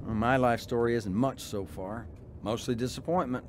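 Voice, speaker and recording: A man's voice: medium pitch, normal speed, slight background noise, natural voice. A man playing a disillusioned young husband and father in a radio drama, both narrating and talking in scenes. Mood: earnest, friendly. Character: disillusioned young husband and father recalling his troubled life. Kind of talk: radio drama acting with first-person narration.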